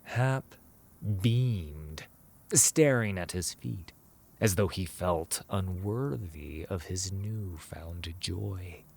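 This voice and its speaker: A man's voice: deep voice, very slow, creaky, a little mellow, breathy edge. A man narrating a scripted fantasy story as a storyteller.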